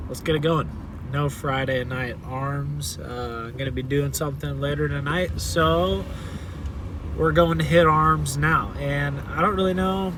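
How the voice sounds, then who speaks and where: A young man in his twenties chats to his camera outdoors, loosely and full of fillers and restarts. His voice sits a bit high for a man. Cars and street noise run clearly behind him. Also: fairly even intonation, a little slow. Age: 20s. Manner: rambling, filler-heavy, with many "like"s and restarts. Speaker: fitness vlogger. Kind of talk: casual vlog talk to camera. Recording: noisy outdoor ambience with cars.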